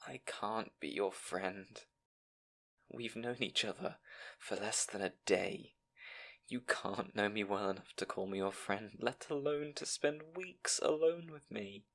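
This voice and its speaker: A man acting as a timid young fantasy scribe, full of self-doubt. He speaks slowly and softly, halting and stammering as he goes, in an intimate audio roleplay style. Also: lowish voice. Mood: distressed, self-doubting. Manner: nervous, halting, stammering, soft-spoken.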